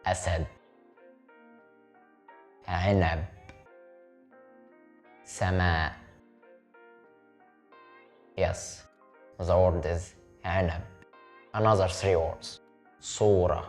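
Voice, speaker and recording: A man who teaches Arabic as a native speaker, leading a step-by-step beginner lesson in English and repeating each word slowly so the viewer can copy it. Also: sits low, fairly even intonation, a little mellow, soft background music. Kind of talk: slow step-by-step language lesson.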